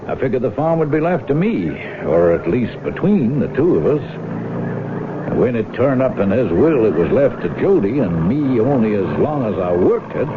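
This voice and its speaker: Brooding farmer in heavily noisy old radio. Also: male voice, on the low side. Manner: plain, folksy rural speech. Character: big, husky, bitter older farm brother, brooding and covetous. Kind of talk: radio drama acting. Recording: muffled old radio broadcast, heavy noise.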